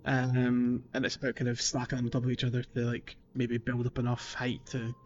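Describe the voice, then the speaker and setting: A man's voice: mid-range voice, monotone, brisk, slight background noise. A man chatting casually as a podcast co-host. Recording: dull lo-fi voice-chat audio.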